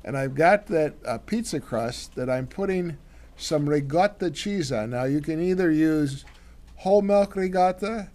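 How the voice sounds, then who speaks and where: An older man co-hosting a home cooking show, talking casually and haltingly as he demonstrates a recipe in his kitchen. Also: fairly high pitch, lively intonation, darkish tone. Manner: rambling, halting with many fillers and restarts. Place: home living room, then kitchen.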